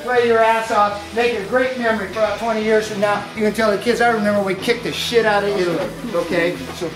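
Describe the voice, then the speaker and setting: A man fires up his team as a football coach. His speech is emphatic and salty, in short motivational bursts. Guitar music plays clearly behind his voice. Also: high voice, steady tone, quick pace, poor audio. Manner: emphatic, profane, punctuated with short checks. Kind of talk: motivational pre-game team talk.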